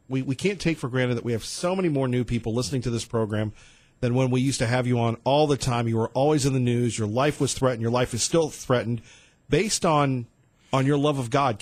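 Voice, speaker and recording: A man's voice: mid-range voice, brisk, dry recording. A man hosting a talk radio show, conversational and asking leading questions of his guest. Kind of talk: conservative talk radio interview. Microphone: studio mic.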